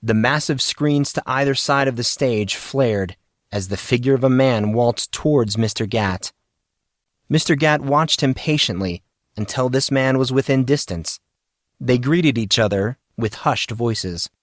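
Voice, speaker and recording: A man's voice: mid-range voice, a little slow, no echo. Man narrating a story, dry recording. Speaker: audiobook narrator. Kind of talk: dramatic scripted story narration.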